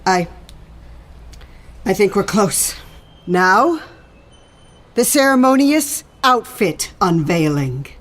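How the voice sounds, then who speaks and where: An actress playing a commanding, emotional ringleader of women sports fans in an audio drama. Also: female voice, medium pitch, animated, takes it slowly, long pauses, a bit bright. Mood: bossy, solemn. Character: bossy, intense ringleader of devoted female sports fans. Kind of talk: naturalistic audio drama acting.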